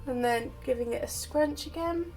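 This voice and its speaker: A woman's voice: sits high, moderate pace, a little mellow, soft background music, rough recording. A woman chatting casually while she demonstrates styling her wavy hair, her voice just recovered from illness. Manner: chatty, self-deprecating, explains while doing. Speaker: hair vlogger. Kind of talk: casual hair tutorial chat.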